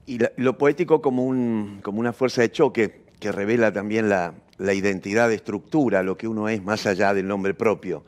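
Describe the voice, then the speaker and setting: Low-voiced Argentine man, reflective. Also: fairly even intonation. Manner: deliberate, builds long philosophical phrases with repeats. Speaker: theatre practitioner interviewed as guest. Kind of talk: reflective, abstract discussion.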